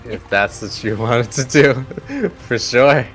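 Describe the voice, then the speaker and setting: A man's voice: mid-range voice, expressive, takes it slowly. A man speaking as game master, narrating a tabletop game in an easygoing, hesitant way. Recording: music bed behind voice. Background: background music.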